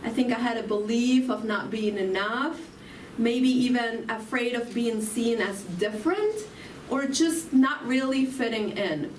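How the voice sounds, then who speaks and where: Argentine-accented woman preacher, fairly high voice, unhurried, reflective questions to the room; roomy distant recording. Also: slight background noise. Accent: Argentine Spanish. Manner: slow, reflective, asks the audience questions. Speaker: spiritual talk speaker. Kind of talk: inspirational sermon. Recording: roomy, from far off, faint ambience.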